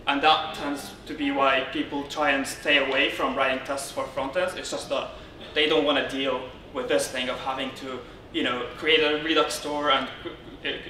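Bright-voiced man presenting in an echoey room. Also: mid-range voice. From Syria. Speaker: conference speaker, software consultant. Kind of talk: informal technical conference presentation. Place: indoor darkened conference room, on stage beside a lectern. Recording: roomy, distant, noisy room sound.

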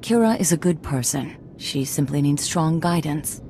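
A woman voicing a calm, authoritative Jedi master in a video game, measured and serious. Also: low voice, a little hiss or hum.

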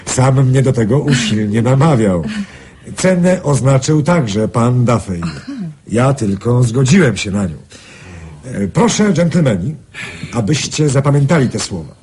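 A male actor plays a suave, sly gentleman driving a bargain in a radio play. His medium-pitched voice is mellow and very expressive, polite and teasing. There is faint noise in the recording. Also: unhurried, lightly acted. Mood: courtly, sly. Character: shrewd, suave gentleman owner of a magic ring. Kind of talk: radio drama acting.

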